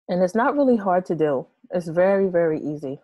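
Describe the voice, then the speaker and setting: A woman in her thirties, hoarse from losing her voice, casually explaining an online tutorial with many fillers and restarts. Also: on the low side, no echo, muffled recording. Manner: rambling, hesitant, many fillers. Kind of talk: casual screen-share tutorial. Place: small room with red curtains, indoors.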